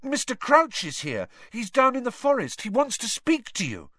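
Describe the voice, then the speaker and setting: A man's voice: very high voice, wildly swooping pitch, moderate pace, sharp tone, dry recording, studio quality, voice pushed up, heavily acted. A man voicing an urgent, insistent teenage boy wizard, breathless and pleading.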